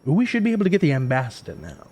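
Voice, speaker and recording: A man acting a husky, menacing villainous mage. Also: fairly high pitch, huge pitch swings, darkish tone, heavily acted. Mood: smug, menacing. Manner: menacing, smug, deliberate. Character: cold, cruel villainous weather mage brother. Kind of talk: audio drama acting.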